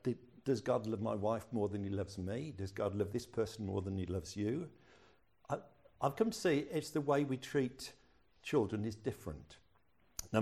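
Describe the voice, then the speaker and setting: A man's voice: mid-range voice, darkish tone, slightly rough. An older man, a pastor preaching a sermon in a chatty storytelling way with self-deprecating humor.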